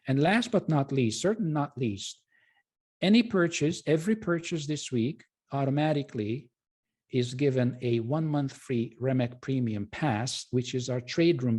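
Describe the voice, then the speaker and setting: A man with a mellow, slightly husky voice presents a live webinar. He speaks informally and courteously, often pausing and correcting himself as he pitches to viewers. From Hungary. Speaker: presenter and trading educator.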